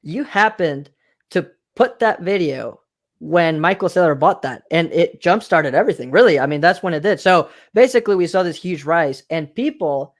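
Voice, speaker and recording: A man's voice: on the high side, lively intonation, moderate pace. A man from Mexico hosting a podcast interview, talking casually and repeating himself for emphasis. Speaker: podcast host.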